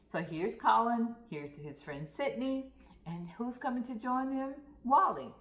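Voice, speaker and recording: Slow, expressive woman storyteller in a roomy space. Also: fairly high pitch. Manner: expressive, deliberate, addresses young listeners directly. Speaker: storyteller reading aloud to children. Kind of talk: read-aloud storytime narration. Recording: roomy, echoey sound.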